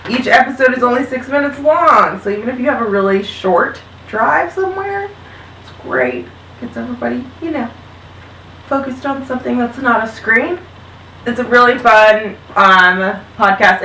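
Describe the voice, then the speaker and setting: A woman's voice: fairly high pitch, normal speed, bright, forceful tone, a little hiss or hum. A woman in her thirties hosting a casual family chat show in an upbeat, chatty way. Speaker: host. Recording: roomy sound from a distant mic.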